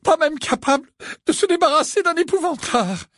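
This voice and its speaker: Man putting on a shrill, sobbing, distraught mother. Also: lively intonation, fairly bright tone, dry recording, clean studio sound. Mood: tearful, ashamed. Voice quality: trembling, high, tearful voice. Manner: stammering, halting, wailing. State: crying. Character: distraught middle-aged mother, sobbing and trembling with fear.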